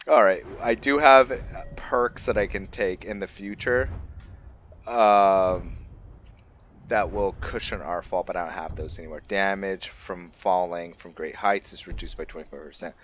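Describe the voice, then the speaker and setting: A man giving casual, unscripted gameplay commentary, musing and haltingly thinking aloud. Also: deep voice, fairly bright tone, highs cut off, a little hiss or hum. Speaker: gamer hosting a let's play.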